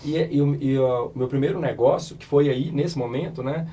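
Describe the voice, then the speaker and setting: A man in his thirties from Minas Gerais with a Mineiro accent, a hoarse startup founder telling stories as a podcast guest. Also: mid-range voice, normal speed. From Minas Gerais, Brazil. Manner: chatty, animated storyteller, many restarts. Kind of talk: casual interview storytelling.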